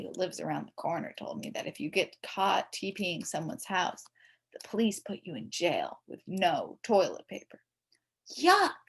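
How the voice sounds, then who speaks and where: A woman's voice: on the low side, creaky, husky. A woman reading a children's story aloud in a theatrical, emphatic way, as a mischievous child telling it. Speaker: storybook reader. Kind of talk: expressive scripted children's story narration.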